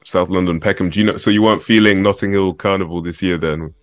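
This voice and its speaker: A man's voice: sits low, fairly even intonation, fast, dry recording. A man hosting a casual podcast interview, chatty and laid-back with plenty of slang. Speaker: podcast host.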